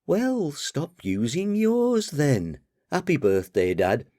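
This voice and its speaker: A man's voice: on the high side, wildly swooping pitch, warm tone, no room sound, studio quality, pitched above the performer's own voice, exaggerated voice. A man voicing a bubbly, bossy young woman who scolds and chatters at her father. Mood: chirpy scolding. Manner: chirpy, nagging, quick to scold. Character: lively young adult daughter, bubbly and bossy.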